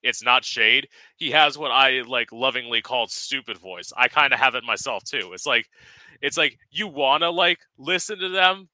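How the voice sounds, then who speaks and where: A man hosting a relaxed interview, with a strikingly bright, sharp voice. He talks playfully and breaks into laughter as he stumbles through restarts. The recording is dry, with no room sound. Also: medium pitch, moderate pace, no room sound, rough recording. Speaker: podcast host. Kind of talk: casual conversation.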